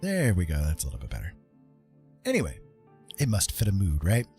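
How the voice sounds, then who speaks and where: Male livestream host, husky low voice, playful meandering chat over faint music. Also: wildly swooping pitch, brisk, dark tone. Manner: rambling, playful. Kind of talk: casual chat to live viewers.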